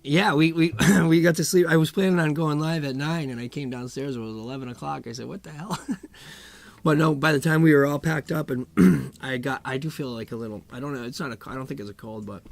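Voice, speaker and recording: A middle-aged man hosting a music live stream from home, chatting casually between songs in a low, rough morning voice, rambling and chuckling. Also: brisk. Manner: laid-back, rambling, chuckles often. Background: acoustic guitar playing.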